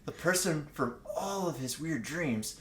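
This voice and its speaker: A man's voice: a little high, expressive, unhurried. A young man joking around and reading fan fiction aloud in a mock-dramatic way, with casual banter. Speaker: guest co-reader. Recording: echoey room.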